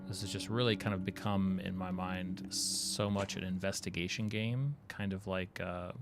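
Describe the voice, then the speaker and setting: Gravelly-voiced man streaming a game. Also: low voice, fairly even intonation, moderate pace, loud music behind the voice. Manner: chatty, thinking aloud, drops to mutters. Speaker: streamer playing a video game. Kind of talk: casual live-stream commentary.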